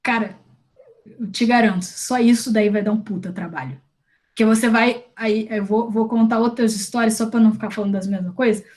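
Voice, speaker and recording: A woman's voice: a little high, fairly even intonation, a little fast, darkish tone. A young woman with a Brazilian Portuguese accent leads a career workshop in a casual, slangy way, often restarting her sentences. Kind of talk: informal workshop talk.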